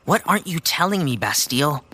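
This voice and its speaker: A man's voice: a little high, very animated, fairly quick, sharp tone, heavily acted. A young man acting an earnest, irritable teenage boy hero in an audio drama, pressing and emotive. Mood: annoyed, pressing.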